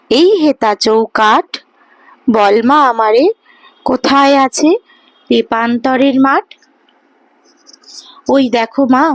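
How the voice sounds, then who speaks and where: A woman reciting a poem expressively, as a child would speak, with tender, deliberate phrasing and pauses. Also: high voice, dry recording, poor audio. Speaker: poetry reciter.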